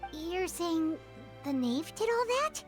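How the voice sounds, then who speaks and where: A chatty little fairy companion from a game, with a high, earnest voice. She sounds like she is talking things through out loud, with faint game music behind her. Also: very animated, takes it slowly, exaggerated voice. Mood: puzzled. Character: small chatty fairy companion, speaks of herself in third person. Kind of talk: game cutscene voice acting.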